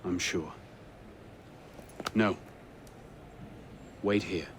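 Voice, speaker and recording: An actor playing a young, determined and wry hooded assassin in a video game cutscene. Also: male voice, deep voice, very slow, a little hiss or hum. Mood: calm, determined. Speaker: game character.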